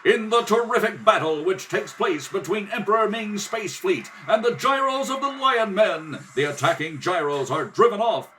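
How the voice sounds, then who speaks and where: A man's voice: high voice, expressive, normal speed, hardly any pauses, pushed and bright, slight background noise. A man narrating a scripted radio serial in a dramatic style, recorded at home. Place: home kitchen table. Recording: distant home rehearsal recording.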